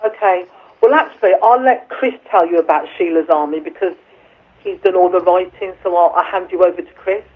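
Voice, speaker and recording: A woman singer with a deep voice, chatting on the phone in an interview, a bit hesitant and self-deprecating, with plenty of fillers. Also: fairly quick, slightly rough. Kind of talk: casual chat over the phone. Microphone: telephone. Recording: tinny phone line.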